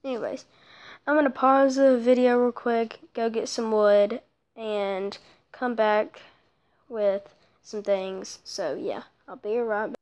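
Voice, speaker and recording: A woman giving casual commentary over her own mobile block-building gameplay, rambling and often dropping into soft whispered asides, recorded on her phone. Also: fairly high pitch, steady tone, takes it slowly, clear, resonant tone. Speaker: let's play creator.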